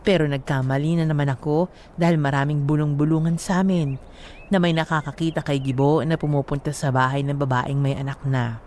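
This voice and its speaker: A man's voice: on the high side, steady tone, fairly quick, a little mellow, slight background noise. A man with a Filipino accent narrating a scripted first-person story for a radio drama.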